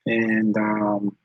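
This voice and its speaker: A man's voice: fairly low pitch, dry recording, muffled recording. A man from Rwanda, hosting a live stream, chatting casually and answering viewers' comments as they come in. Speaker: channel host.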